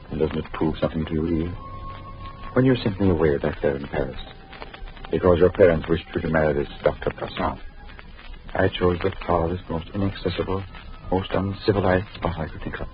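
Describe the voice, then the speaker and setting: An actor playing a brooding former lover, intense and theatrical, in 1940s radio acting. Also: male voice, low-pitched, fairly quick, long pauses, lightly acted. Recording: dull old radio recording with faint hiss.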